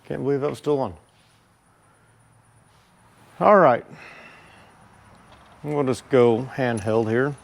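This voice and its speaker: A man giving a casual product review as a motorcycle vlogger, speaking informally and haltingly. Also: medium pitch, creaky. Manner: informal, halting, unhurried delivery.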